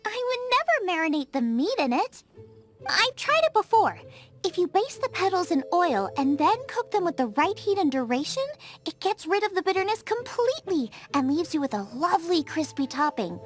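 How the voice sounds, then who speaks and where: A woman's voice: very high voice, sing-song, moderate pace, faint music behind. A woman voicing a cheerful, headstrong young female chef in a game cutscene, bubbly but stubborn. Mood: explaining. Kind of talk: video game cutscene dub.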